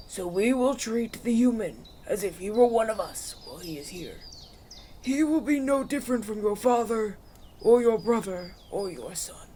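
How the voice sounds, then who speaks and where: A man's voice: sits high, animated, normal speed, dark tone, slight background noise. A man playing a solemn, pious village elder in a fantasy audio roleplay, speaking in a formal, ceremonial manner. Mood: solemn, reverent. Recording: outdoor nature ambience with birdsong behind.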